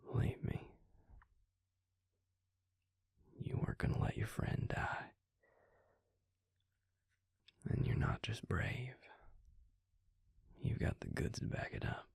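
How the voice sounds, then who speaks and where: A man playing a gentle, concerned Southern vampire confidant in an intimate audio roleplay, speaking in a hushed, halting, husky voice with a Southern US accent, close to the mic. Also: dry recording, in a whisper, close to an everyday voice. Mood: reassuring. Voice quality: breathy, husky, gravelly low voice. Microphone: close mic, dry sound.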